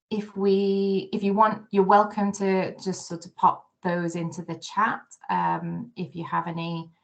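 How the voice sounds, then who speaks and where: A woman hosting an informal online session, speaking in a hesitant, loosely phrased way. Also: sits low. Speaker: university host moderating a session.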